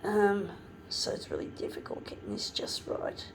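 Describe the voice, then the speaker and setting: A woman, hoarse from a flu with a blocked nose, chatting and swearing freely as she works. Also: medium pitch, sing-song, normal speed, slight background noise. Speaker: artist. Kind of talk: casual talk while working.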